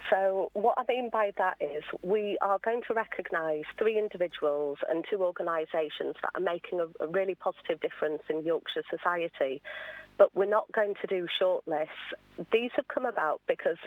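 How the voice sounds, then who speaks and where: A woman's voice: fairly low pitch, moderate pace, no room sound, narrow, tinny audio. A woman being interviewed on radio as an awards founder, explaining things earnestly in a conversational way.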